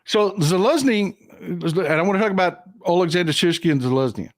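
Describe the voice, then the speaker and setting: A man's voice: sits high, lively intonation, brisk, no echo. A man with a Texan accent hosting a casual live stream, folksy and emphatic, who swears casually.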